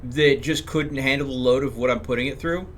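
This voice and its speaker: A man's voice: medium pitch, fairly even intonation, a little fast. A man talking casually as he streams a game, commenting on his play and explaining things step by step in a rambling way. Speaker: live streamer. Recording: clear background noise.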